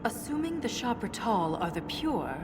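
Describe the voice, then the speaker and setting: A woman's voice: on the high side, sing-song, normal speed, noisy background. An actress playing a grave, thoughtful female dwarf scholar in a video game. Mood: pondering. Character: earnest, scholarly female dwarf, awed and determined. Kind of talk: video game cutscene acting. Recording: loud eerie music behind.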